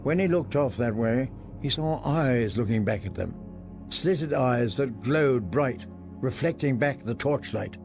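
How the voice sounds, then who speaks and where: A man reads fantasy fiction like an audiobook narrator, in a mid-range voice. His storytelling is measured, and faint music plays behind him. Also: mid-range voice, lively intonation. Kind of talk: audiobook-style story reading. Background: soft music.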